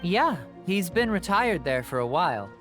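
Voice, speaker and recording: A woman's voice: on the low side, very animated, moderate pace, a bit bright, soft background music. A woman playing a jaded, taunting character in an anime game, with a pointed, accusing manner. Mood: needling.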